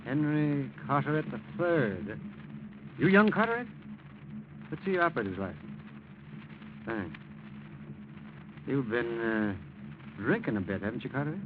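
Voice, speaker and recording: A man's voice: medium pitch, lively intonation, measured pace, pauses often, lightly acted. A dull, noisy vintage radio recording carries an actor playing a brusque night patrol cop. He is curt and nosy, firing off questions in old radio drama style. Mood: brisk, suspicious. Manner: curt, interrogating. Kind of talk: 1940s radio drama acting.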